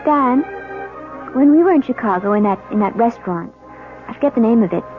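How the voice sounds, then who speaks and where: Suspicious young bride, played by an actress, polite and hesitant, higher-voiced; 1970s radio drama, thin phone-like sound. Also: female voice, animated, fairly quick, lightly acted. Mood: uneasy. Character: anxious, suspicious young newlywed wife. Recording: tinny band-limited old radio, music behind.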